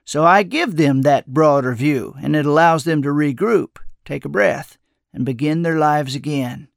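A man voicing a kindly, calm old wanderer with an unhurried, soothing, folksy way of talking, as character dialogue in an audiobook. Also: fairly high pitch, vocal fry, no room sound, clean studio sound. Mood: earnest.

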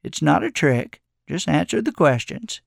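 A man's voice: high-pitched, big pitch swings, moderate pace, vocal fry, a bit bright, dry recording, studio quality, pitched above the performer's own voice, exaggerated voice. A man voicing a kindly old wandering mentor with a playful, sage-like, teasing manner in an audiobook. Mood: sly, teasing.

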